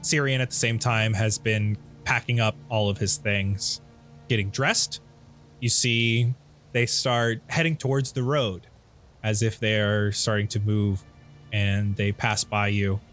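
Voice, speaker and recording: A man's voice: on the low side, fairly even intonation, slow, fairly bright tone, soft background music. A man narrating a tabletop roleplaying game as the game master, describing what characters do and sense.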